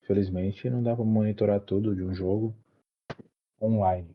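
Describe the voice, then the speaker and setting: A man's voice: on the low side, steady tone, moderate pace, a little hiss or hum. Hesitant man on a dull podcast mic. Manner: hesitant, many restarts and fillers. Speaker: podcast co-host. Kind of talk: casual podcast chat. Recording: dull lo-fi recording.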